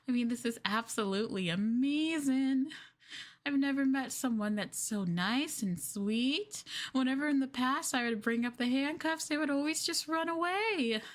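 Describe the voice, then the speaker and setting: A woman voicing a sweetly possessive, obsessive young girlfriend in an ASMR roleplay, coaxing and cooing between soft speech and whispers. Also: fairly high pitch. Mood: gushing, possessive. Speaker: ENVtuber streamer performing ASMR roleplay.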